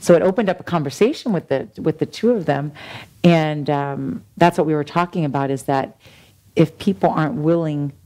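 A woman's voice: deep voice, moderate pace, mellow. A woman health coach talking as a guest on a studio set, conversational and loose with fillers. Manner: conversational, many fillers like um and you know. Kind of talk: casual chat. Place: indoor TV studio set, seated at a café table.

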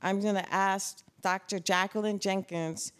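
A woman with a smooth, clear voice at medium pitch. She opens the event and introduces the panel in a formal, slightly halting manner. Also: fairly even intonation. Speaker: event host.

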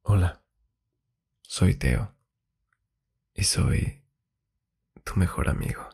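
A man with a very creaky, low voice speaks in a soft, intimate ASMR style. His delivery is slow and husky, drifting into whispers close to the microphone. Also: expressive. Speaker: ASMR host. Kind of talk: intimate Spanish ASMR relationship advice. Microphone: close-up binaural microphone.

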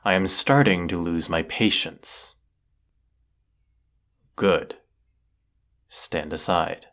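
A brooding villainous wizard of the woods, acted by a man for a fantasy audio roleplay. His voice sits low with a creaky, gravelly edge. He speaks slowly and formally, with stern deliberation. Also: a bit bright, full character voice. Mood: cold, menacing.

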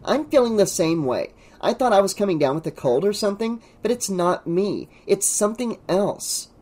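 Narrator as an earnest, high-pitched teenage girl. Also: lively intonation, moderate pace, a little mellow, lightly acted. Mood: serious, uneasy. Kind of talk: audiobook character dialogue.

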